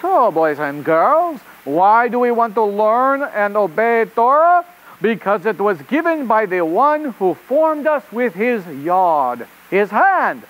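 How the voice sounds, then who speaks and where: A performer voicing an excitable, easily flustered rabbit reporter puppet in a children's show, with a theatrical delivery and a put-on French accent. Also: medium pitch, takes it slowly, low-quality recording. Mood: earnest. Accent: fake French. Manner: theatrical, exclamatory.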